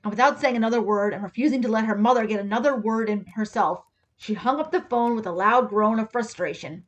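A woman giving an expressive, scripted reading of a story as its narrator. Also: a little high, a little fast, muffled recording. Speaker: story reader. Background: music with guitar.

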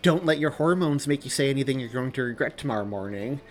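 A man's voice: mid-range voice, sing-song, normal speed, fairly bright tone, slightly rough, faint music behind, full character voice. A man voicing an exasperated, defensive young man with a sarcastic edge in dramatized audiobook dialogue. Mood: sarcastic, angry.

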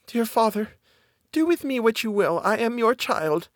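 A man voicing a gentle, pious young girl in a storybook tale, softly plaintive and resigned. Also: very high-pitched, sing-song, normal speed, no room sound, studio quality, pitched above the performer's own voice. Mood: meek. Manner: soft, submissive, plaintive.

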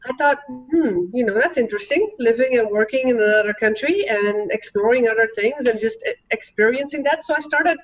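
A woman's voice: a little high, a little fast, few pauses, muffled recording, a little hiss or hum. A woman in her forties with a Dutch accent, an interview guest talking in an earnest, encouraging way. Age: 40s. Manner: earnest, encouraging, many restarts. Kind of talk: casual interview talk.

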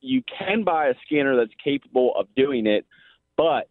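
A man's voice: mid-range voice, big pitch swings, normal speed, no room sound. A Midwestern American man phoning in to a radio show, explaining his point in colloquial, folksy speech. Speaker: radio phone-in caller. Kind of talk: informal, explaining and arguing a point. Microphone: telephone. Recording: thin, band-limited phone line.

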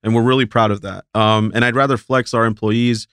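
A man's voice: medium pitch, steady tone, fairly quick, fairly bright tone, clear, resonant tone, dry recording, clean studio sound. A man from Mexico talking casually as a guest in an interview, easygoing and full of fillers and restarts. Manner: laid-back, filler-heavy, restarts a lot. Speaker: guest, talent agency principal.

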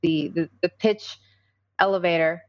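A woman's voice: on the low side, a little slow, muffled recording. A woman in her thirties from Colombia with a Colombian accent, a native Spanish speaker, talking earnestly as a podcast guest with many fillers and restarts. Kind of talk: casual podcast conversation.

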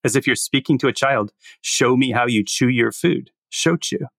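A man's voice: mid-range voice, normal speed, gravelly, fairly bright tone, no echo. A man co-hosting a podcast, from the United States, talking in an easygoing way and laughing often. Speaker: podcast co-host. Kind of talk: casual podcast chat.

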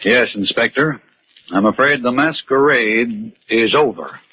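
An actor playing an earnest, level-headed suspect turned sleuth in a 1940s radio mystery, speaking in a measured, articulate way. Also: male voice, medium pitch, loud music behind the voice, natural voice. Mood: grave, accusing. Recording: muffled, noisy 1940s radio recording.

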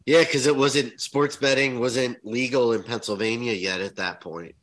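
Brief: male podcast co-host, stuffy nose, raspy, relaxed conversational delivery. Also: mid-range voice, moderate pace. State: congested, raspy from covid. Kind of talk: casual podcast chat.

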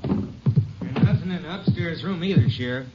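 A man's voice: a little high, moderate pace, slight background noise, natural voice. An actor playing a crooked, scheming male constable in a 1950s radio drama, sly and then menacing. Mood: evasive. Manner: sly, turns threatening. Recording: muffled old radio transcription.

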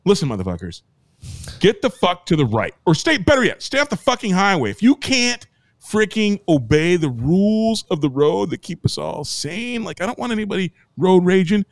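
A man co-hosting a podcast, airing grievances in a profane, exasperated rant that builds into shouting. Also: high-pitched, very animated, moderate pace, no room sound.

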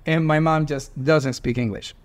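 A man in his forties from Venezuela with a Venezuelan accent and Spanish as his first language, hosting a conversation and telling animated stories with laughs. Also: on the high side, moderate pace. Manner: animated storyteller, laughs while talking. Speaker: host. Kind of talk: casual panel conversation.

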